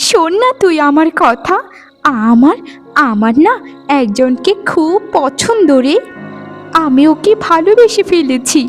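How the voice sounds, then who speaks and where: Woman as a tender, high-voiced forest maiden. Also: sing-song, slow pace, soft background music, full character voice. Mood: shy, lovestruck. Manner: tender, earnest. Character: gentle, lovestruck beautiful young forest maiden. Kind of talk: cartoon fairy-tale acting.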